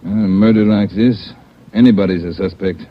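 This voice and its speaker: An actor playing a brisk, commanding chief of detectives in a 1940s radio crime drama. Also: male voice, on the low side, fairly even intonation, close to an everyday voice. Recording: dull radio recording with faint noise.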